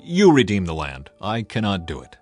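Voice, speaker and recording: A man's voice: low-pitched, wildly swooping pitch, moderate pace, over music, pitched below the performer's own voice. A man voicing a cautious, self-protective male relative with blunt, plain speech in a Bible story. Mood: wary, blunt.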